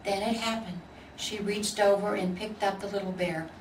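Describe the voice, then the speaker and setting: A woman's voice: medium pitch, fairly even intonation, normal speed. American woman reading a storybook, echoey room. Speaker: volunteer story reader. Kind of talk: children's storybook narration. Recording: echoey room, distant mic, faint noise.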